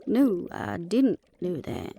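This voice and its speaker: A woman's voice: fairly low pitch, huge pitch swings, takes it slowly, vocal fry, mellow, slight background noise, full character voice. A woman playing a sardonic, impatient and proud female vampire in a fantasy audio roleplay, speaking with sarcasm and disbelief. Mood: dry. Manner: sarcastic, incredulous.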